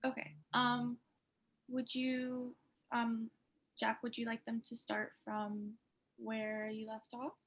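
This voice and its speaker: A woman with a fairly high, lightly creaky voice moderates a video call. She is soft-spoken and polite, hesitating often as she coordinates casually. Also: completely flat delivery, slow pace. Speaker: moderator of an online table read. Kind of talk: casual, halting coordination talk.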